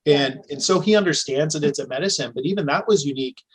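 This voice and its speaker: A man's voice: a little high, fast talker, slightly rough. Chatty man telling family stories. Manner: chatty storytelling, with restarts. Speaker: podcast guest. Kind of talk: casual conversation.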